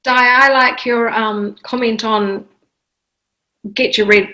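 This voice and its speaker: Woman, audience member, bright sharp voice, hesitant, lots of ums. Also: on the high side, fairly even intonation, low-quality recording. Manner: hesitant, many ums and pauses. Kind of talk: group discussion comment.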